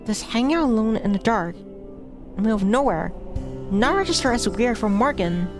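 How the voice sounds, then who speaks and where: A woman's voice: a little high, animated, normal speed. A woman streamer reading a visual novel aloud, with casual, chatty asides between passages. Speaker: VTuber streamer. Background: background music.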